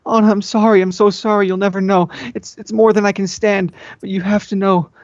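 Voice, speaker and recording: Overwrought lovesick young woman, played by a man, high voice, stammering pleas, amateur fan fiction reading, dull sound. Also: little pitch movement, a little fast, dry recording, lightly acted. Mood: anguished, apologetic. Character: anguished, pleading young woman in love.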